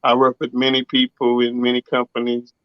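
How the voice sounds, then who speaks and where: A man with a rough, raspy voice, a singer and producer talking casually in an interview and often saying "you know what I'm saying". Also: mid-range voice, completely flat delivery, brisk, no room sound, lo-fi sound. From Southern US.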